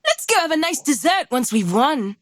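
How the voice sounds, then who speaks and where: A woman's voice: high voice, big pitch swings, moderate pace. A woman playing a poised, confident young gym leader in a video game, elegant and playful.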